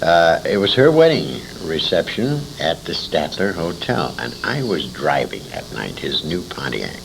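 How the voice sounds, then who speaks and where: An older American man recounts anecdotes in an interview with salty, emphatic phrasing. His voice is deep and creaky, leaping widely in pitch as he tells the tale. The recording has faint noise behind him. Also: sing-song, a little slow. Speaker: interview guest, old-time radio veteran. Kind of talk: animated anecdotal storytelling.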